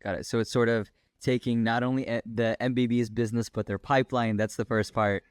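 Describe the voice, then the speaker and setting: A man's voice: medium pitch, little pitch movement, fairly quick, clear, resonant tone, dry recording, studio quality. A man hosting an interview podcast, asking long, chatty questions that he often ends with "right?". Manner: chatty, tag questions like "right?", laughs easily. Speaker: podcast host.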